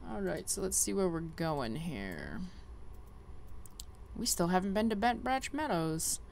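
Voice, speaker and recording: A woman's voice: lowish voice, sing-song, unhurried, gravelly, a little mellow, a little hiss or hum. A woman giving casual, chatty game walkthrough commentary, thinking aloud as she plays. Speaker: gaming video host.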